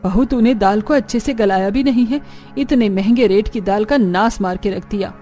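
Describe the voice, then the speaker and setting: A woman voicing a scolding older mother-in-law with a sharp-tongued, nagging manner in a cartoon story. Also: medium pitch, normal speed, faint music behind, lightly acted. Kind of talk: Hindi cartoon story acting.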